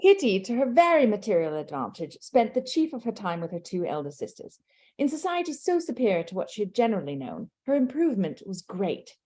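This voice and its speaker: A woman cohosting a book chat over a video call, reading a passage from a novel aloud in an animated, playful way. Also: medium pitch, a little fast.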